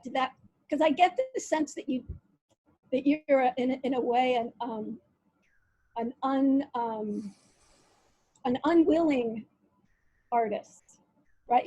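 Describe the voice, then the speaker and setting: A woman hosting a conversational interview over a video call, reading passages aloud and talking with frequent pauses and fillers. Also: sits high, a little mellow, no room sound, muffled recording. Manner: hesitant, reads quotes aloud, many fillers. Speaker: interview host.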